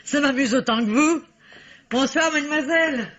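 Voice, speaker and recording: A woman's voice: high voice, moderate pace, close to an everyday voice. A woman playing a nosy, cheeky working-class housemaid in a radio mystery, chatty and colloquial. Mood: gleeful. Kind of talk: vintage French radio mystery acting. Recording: dull, noisy old radio recording.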